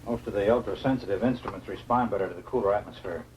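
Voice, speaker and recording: An actor playing a grave, authoritative doctor and scientist in a 1950s science fiction film. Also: male voice, fairly low pitch, fast, a little mellow, a little hiss or hum, close to an everyday voice, rough recording. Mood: explanatory. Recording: old film soundtrack with music underneath.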